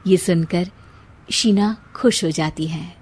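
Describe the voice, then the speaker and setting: A woman's voice: lowish voice, slow, darkish tone, breathy edge. A woman storyteller reading scripted narration for a cartoon story.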